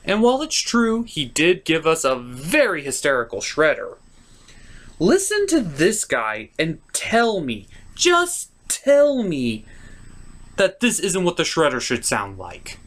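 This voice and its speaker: A man's voice: a little high, wildly swooping pitch, takes it slowly, fairly bright tone. A man narrating a scripted cartoon review as host, opinionated and emphatic.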